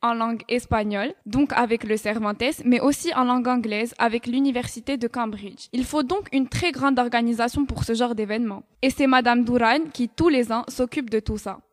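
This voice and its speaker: A teenage female student presenting a scripted radio column and asking interview questions in a formal, polite way. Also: fairly high pitch.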